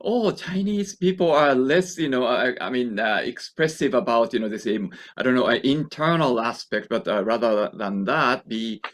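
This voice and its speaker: A man's voice: medium pitch, normal speed, few pauses. A man with a Japanese accent moderating an academic seminar off the cuff, polite, wordy and hesitant. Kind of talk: impromptu moderating.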